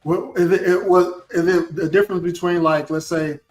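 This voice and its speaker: A man interviewing a guest, asking long, halting questions full of restarts. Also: sits high, fairly even intonation. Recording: echoey room with faint music.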